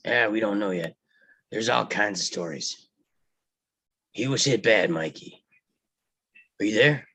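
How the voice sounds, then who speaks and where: A man playing a bossy, protective, hot-tempered mobster elder brother in a table read, with a husky voice. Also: on the low side, expressive, unhurried, long pauses, pushed and bright, no echo, full character voice. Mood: worried. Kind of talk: live table read of a film script.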